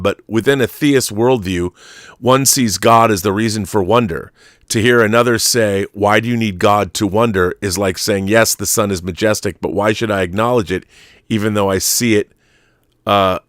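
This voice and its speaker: Male host, 50s, American, lower register, dry close sound; casual, digressive, emphatic, opinionated. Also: fairly bright tone, no echo. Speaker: talk show host. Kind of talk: casual, rambling opinionated live chat answering viewer messages.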